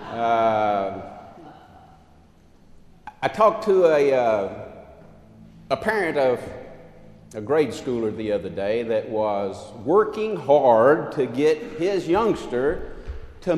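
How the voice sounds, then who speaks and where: An older man preaching a sermon in a large church sanctuary, animated and pacing, lifting his arms as he speaks. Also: fairly high pitch. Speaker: pastor. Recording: echoey church from a distance.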